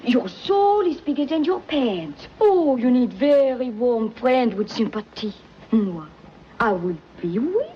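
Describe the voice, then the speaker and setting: Coquettish young French maid, French accent, lively singsong voice, broken English, muffled vintage film audio. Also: female voice, fairly high pitch, takes it slowly, slight background noise. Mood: coaxing. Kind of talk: 1940s film acting. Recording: dull old film soundtrack.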